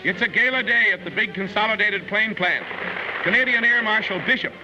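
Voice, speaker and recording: A man's voice: sits high, brisk. A man reading scripted narration for a 1940s newsreel. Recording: muffled 1940s newsreel soundtrack. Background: music.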